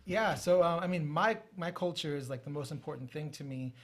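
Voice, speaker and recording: A man from the United States, a poet guest, who recites verse in a measured rhythm and speaks more quickly and trails off in conversation, in a TV talk studio. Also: fairly high pitch. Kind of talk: poetry recitation and interview answers.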